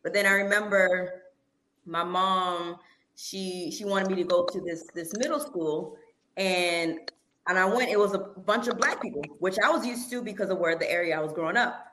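A woman's voice: on the low side, normal speed, sharp tone, slight background noise, poor audio. A woman from Miami in casual group chat, choosing her words carefully.

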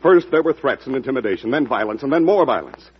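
An actor playing an anxious, insistent government official in an old radio drama, husky and pressing. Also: male voice, medium pitch, lively intonation, quick pace, highs cut off. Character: worried, insistent male treasury secretary. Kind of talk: 1940s radio acting.

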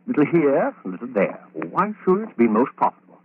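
A man's voice: medium pitch, huge pitch swings, brisk, slight background noise. An actor playing a genteel madman who murders women for profit, theatrical and smug, muttering repeated phrases to himself in old-time radio horror style. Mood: coaxing, relishing. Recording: muffled, thin old radio recording.

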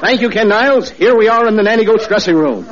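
A man's voice: high-pitched, quick pace, background noise. A man announcing and playing a sportscaster in a 1940s radio comedy show. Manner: lively announcer delivery. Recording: lo-fi 1940s radio recording with live audience.

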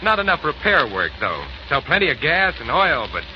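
An actor playing a confident, easygoing young Canadian garage owner with folksy talk in a 1940s radio drama. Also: male voice, a little high, big pitch swings, normal speed. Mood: cheerful, chatty. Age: young adult. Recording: noisy, dull 1940s radio broadcast.

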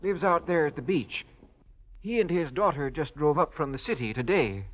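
An actor playing an obliging, folksy small-town shopkeeper in a 1940s radio drama. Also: male voice, a little high, animated, moderate pace, lightly acted. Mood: helpful. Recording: dull, noisy vintage radio recording.

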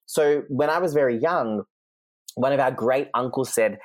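A speaker in their thirties from Australia, telling stories in casual chat, animated and exclamatory, quick to swear. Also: medium pitch, dry recording. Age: 30s.

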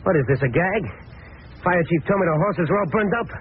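A man's voice: high-pitched, a little fast, close to an everyday voice. A man acting in a 1940s radio drama as a crooked, impatient gang boss with a curt, slangy, bullying manner. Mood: defiant. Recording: tinny, noisy old radio recording.

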